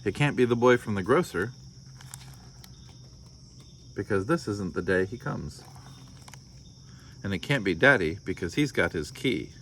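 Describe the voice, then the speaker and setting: A man's voice: fairly low pitch, animated, measured pace, long pauses, a bit bright, a little hiss or hum. Man reading as a courteous storybook mummy. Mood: wondering. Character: Sophie's mummy, hospitable, puzzled young mother.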